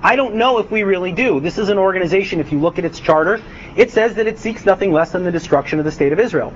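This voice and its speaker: A man's voice: sits high, animated, quick pace. A young adult man giving a lecture as an author, speaking with frequent hesitations and restarts. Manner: hesitant, many uhs and restarts. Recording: dull lo-fi recording, faint noise.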